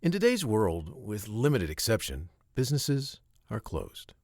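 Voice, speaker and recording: A man narrating a children's story from a script, with expressive, emphatic phrasing and short pauses. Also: fairly low pitch, dry recording, studio quality.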